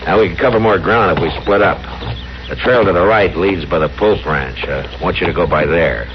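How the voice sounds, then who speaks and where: A male actor as a stoic American frontier lawman with a very low voice. He is terse, dry and steady, in old radio western style, on a muffled, noisy recording. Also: a little fast, natural voice. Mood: patient, instructive. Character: stoic, weary, authoritative frontier US marshal.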